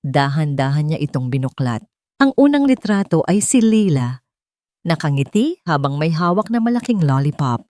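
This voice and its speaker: A woman reading scripted dramatic narration as a storyteller, in measured short phrases. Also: low voice, very animated, darkish tone, dry recording, studio quality.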